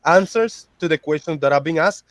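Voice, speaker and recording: A man's voice: a little high, a little fast, no echo. A man in a casual online chat who talks hesitantly and stammers.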